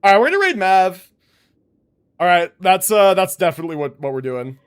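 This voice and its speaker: High-voiced, animated young male streamer. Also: brisk, clear, resonant tone. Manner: informal, rambling, swears freely. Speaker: game livestreamer. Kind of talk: casual livestream chat to viewers.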